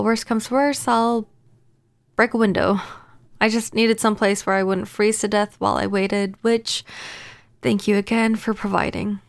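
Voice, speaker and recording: A woman playing a gentle, apologetic vampire neighbor in a soft-spoken, hesitant ASMR audio roleplay. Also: medium pitch, no echo, natural voice. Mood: relieved, grateful. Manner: hesitant, stammering, earnest.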